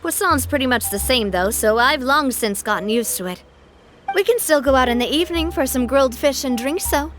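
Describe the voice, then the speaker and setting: A woman's voice: high voice, animated, fairly quick, a bit bright, a little hiss or hum. An actress playing a confident, playful young woman who leads a local group, teasing and laughing often, in a game cutscene. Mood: friendly. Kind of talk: game voice acting.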